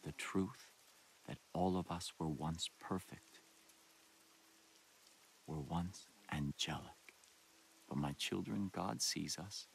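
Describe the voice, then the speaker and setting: Game villain: solemn male cult prophet, very deep voice, measured sermon delivery, faint hissy ambience. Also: creaky, heavily acted, low-quality recording. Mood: solemn preaching. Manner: slow, deliberate, grave, rhetorical. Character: solemn, messianic male doomsday cult leader. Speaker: video game villain, preacher. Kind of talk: dramatic sermon and broadcast monologue.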